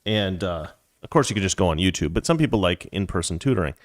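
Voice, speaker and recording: A man's voice: deep voice, expressive, fast, dry recording, studio quality. A man hosting a talk show, giving casual political commentary in a rambling, conversational way that drifts into anecdotes.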